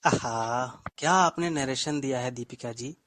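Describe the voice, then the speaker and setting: A man's voice: medium pitch, a little slow, a bit bright, dry recording. A man, a story writer and co-host, chatting in an effusive, courteous and poetic manner. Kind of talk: casual, appreciative show conversation.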